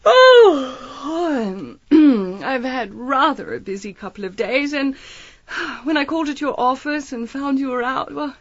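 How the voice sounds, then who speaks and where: A shrewd lady sleuth in a period radio mystery. Her voice sits fairly high and swoops with lively inflection. She is airily polite and disarmingly chatty, recorded close and dry. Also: takes it slowly, no echo, muffled recording, full character voice. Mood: breezy apology. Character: shrewd, breezy female amateur detective, feigning innocence. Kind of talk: audio drama acting, period mystery.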